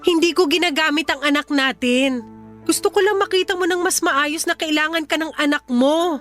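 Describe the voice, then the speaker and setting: A woman's voice: high voice, moderate pace, a bit bright, faint music behind. An actress playing a clingy, desperate mother pleading with her ex in a Filipino radio drama, with an insistent, emotional delivery and a Filipino accent. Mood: insistent, wounded.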